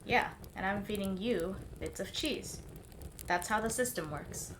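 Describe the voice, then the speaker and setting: A woman's voice: medium pitch, measured pace, fairly bright tone, a little hiss or hum, natural voice, rough recording. An actress playing a blunt, teasing young woman adventurer in an audio drama.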